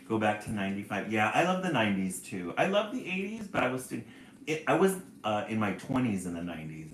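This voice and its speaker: A man's voice: lowish voice, a little hiss or hum. A man in his forties hosting a casual live stream, chatting and reading out viewer comments. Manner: chatty, reads viewer comments aloud, laughs often. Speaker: livestream host. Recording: roomy echo.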